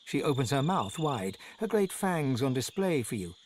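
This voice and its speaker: A man acting as the narrator of a fantasy game, telling scenes in an expressive storybook style. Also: medium pitch, moderate pace, a little hiss or hum, close to an everyday voice. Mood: wry, descriptive. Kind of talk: scripted second-person narration.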